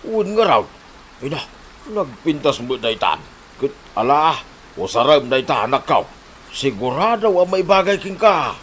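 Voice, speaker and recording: A man's voice: a little high, very animated, moderate pace, fairly bright tone, a little hiss or hum. A man acting a teasing, admiring village father in an Ilocano comedy drama, with an Ilocano accent.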